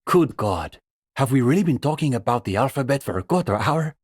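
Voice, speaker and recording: An actor playing an earnest young communist student intellectual in a video game, speaking in an articulate, pedantic way. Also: male voice, mid-range voice, lively intonation, fairly quick, breathy edge, no room sound, clean studio sound. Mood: curious, probing. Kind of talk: video game dialogue acting.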